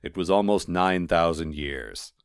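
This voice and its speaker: Man reading as an ancient clay golem, very low rumbling voice, solemn, blank, unhurried, storybook creature, dry close sound. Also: gravelly, clear, resonant tone, no room sound. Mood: solemn, resigned.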